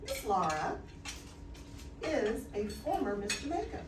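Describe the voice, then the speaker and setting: A woman's voice: on the high side, huge pitch swings, measured pace, background noise. Woman reading an introduction in an echoey hall. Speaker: event host introducing the speaker. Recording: echoey room from far off, clatter behind.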